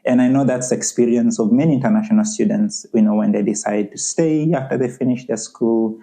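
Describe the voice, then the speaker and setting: A man's voice: medium pitch, a little fast, dark tone. A young adult man with a Ugandan accent, speaking earnestly as a podcast guest in a conversational interview and often saying "you know".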